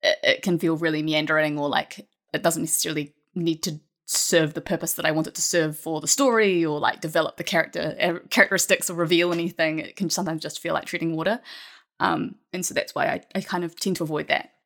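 Woman writer on a podcast, deep for a woman, chatty n rambly, says like a lot. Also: fairly even intonation, quick pace, fairly bright tone. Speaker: podcast guest, audio fiction writer. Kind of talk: casual panel chat.

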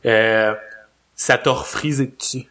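A man co-hosts a relaxed podcast with a Beauce Quebec French accent, and his voice sits in an ordinary middle pitch. He meanders through stories with frequent fillers and false starts. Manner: rambling, halting, many fillers and restarts. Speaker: podcast co-host. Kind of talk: casual chat.

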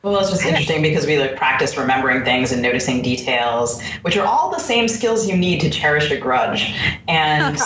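A man with a fairly high voice co-hosts a casual podcast chat. He sounds roomy and echoey, with clear noise behind him. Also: expressive, fairly quick, muffled recording. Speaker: podcast host. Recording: roomy echo, clear background noise, clicks.

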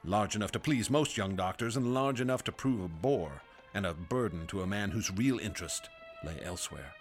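An actor playing a grave male doctor narrating his testimony in a radio drama, measured and somber. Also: lowish voice, expressive, faint music behind. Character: grave male doctor recounting horrors as a witness.

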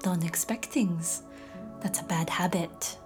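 An actress playing an enigmatic, playful, self-possessed hostess with a teasing, cryptic manner in an intimate audio drama. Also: female voice, on the low side, slow pace, whispered, music in the background. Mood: mysterious, teasing. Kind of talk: intimate audio fiction acting.

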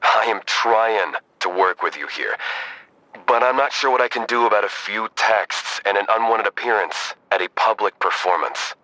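An actor playing a weary, procedural police sergeant, measured and matter-of-fact, in an audio drama. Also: male voice, lowish voice, bright, forceful tone, no room sound, low-quality recording. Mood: politely dismissive. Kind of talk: audio drama acting, phone dialogue.

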